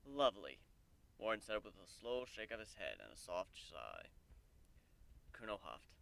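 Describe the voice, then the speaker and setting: A man's voice: lowish voice, big pitch swings, unhurried, vocal fry, a bit bright. A man reading a story aloud as narrator, in the style of a dramatic audiobook. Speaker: narrator, fan fiction reader.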